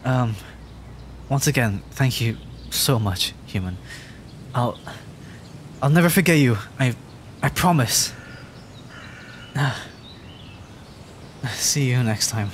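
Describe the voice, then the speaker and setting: Casting: male actor as timid young male dragon for ASMR audio drama, slow and halting, rambling apologetically, nature noise behind him. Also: mid-range voice, lightly acted. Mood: grateful, shy. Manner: stammering, hesitant, rambling, apologetic. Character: nervous, adorkable young male dragon, injured and frightened.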